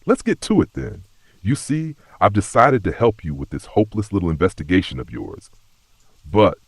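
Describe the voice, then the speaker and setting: A sly Australian serpent trickster played by a male narrator for a dark audio story. He drawls and purrs with smug calm, swinging widely in pitch as he taunts, in a husky voice recorded close and dry. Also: moderate pace, dry recording, heavily acted. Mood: sly, taunting. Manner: drawling, purring, smugly calm.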